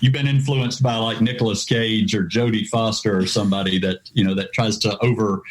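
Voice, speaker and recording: A man's voice: fairly low pitch, steady tone, a little fast, poor audio. An American man, an adventure travel writer, being interviewed on a podcast, rambling with lots of "you know" fillers. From United States.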